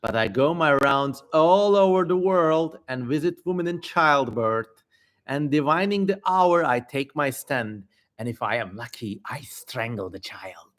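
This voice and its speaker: A man acts out a fierce female demon from an ancient tale. She is haughty and defiant, declaiming theatrically. The delivery is slow and deliberate, at a medium male pitch. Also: animated, a bit bright. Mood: menacing, boastful. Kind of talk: dramatic reading of an ancient text.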